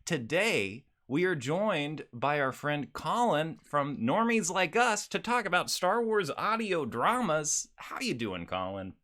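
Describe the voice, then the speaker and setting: A man's voice: fairly high pitch, big pitch swings, takes it slowly, hardly any pauses, pushed and bright. A man speaking casually and enthusiastically as co-host of a podcast.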